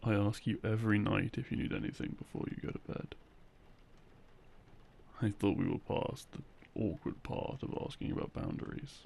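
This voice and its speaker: Gravelly, hushed boyfriend, acted by a man. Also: fairly low pitch, moderate pace. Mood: hurt, jealous. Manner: soft, hushed, close and coaxing. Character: loving boyfriend, gently teasing, a little jealous. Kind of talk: intimate ASMR boyfriend roleplay. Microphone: close binaural microphone. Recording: close binaural recording, faint noise.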